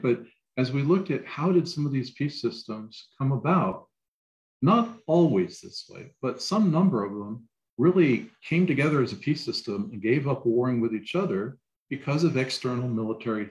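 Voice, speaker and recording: An American man speaking as an academic panelist, reasoning aloud in a measured, explanatory way with careful qualifications. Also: medium pitch, animated, a little mellow, echoey room, low-quality recording. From United States. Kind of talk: explanatory discussion.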